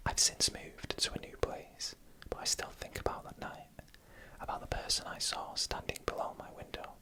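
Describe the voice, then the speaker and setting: A narrator telling stories in a breathy, unvoiced ASMR whisper, in hushed and deliberate short phrases. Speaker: narrator and channel host.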